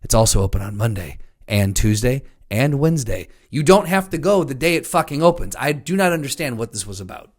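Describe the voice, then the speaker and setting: A man's voice: medium pitch, lively intonation, a little fast, hardly any pauses. An American man co-hosting a podcast, chatting casually with emphatic, exasperated, profane comic complaints. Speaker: podcast co-host. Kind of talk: casual comedic chat.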